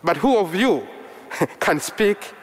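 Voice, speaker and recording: A man from Uganda whose first language is Luganda, preaching a sermon in slow, measured short phrases. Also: sits high, expressive, slightly rough. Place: church. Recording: long reverb of a large hall.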